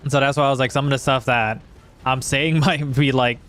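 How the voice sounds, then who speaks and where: A man with a slightly hoarse voice, chatting casually and haltingly while livestreaming a game. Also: medium pitch, fairly even intonation, a bit bright. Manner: rambling, halting, self-correcting. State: hoarse from singing.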